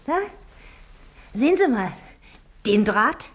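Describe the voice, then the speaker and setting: An actress playing a chatty, wheedling, falsely friendly wife in a German radio play. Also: female voice, medium pitch, big pitch swings, slow. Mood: insinuating.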